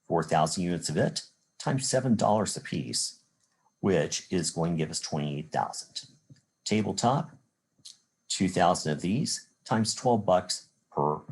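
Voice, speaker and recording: Deep, husky American male lecturer. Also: darkish tone. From Chicago area, United States. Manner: methodical, step-by-step explaining with rhetorical "well" and "again". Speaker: college accounting instructor. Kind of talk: recorded lecture working through examples.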